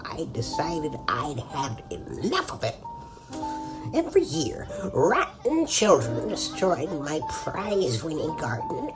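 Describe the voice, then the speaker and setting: A woman's voice: deep voice, exaggerated voice. A woman playing a determined old woman, telling things dramatically in a comedy audio drama. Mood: grave, dramatic. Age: older. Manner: dramatic storytelling, deliberate. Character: theatrical, determined old woman who hunts a monster. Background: music.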